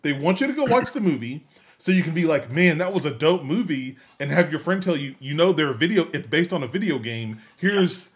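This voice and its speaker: A man hosting a podcast in casual discussion, haltingly repeating phrases as he builds his point. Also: fairly high pitch, expressive. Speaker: podcast host.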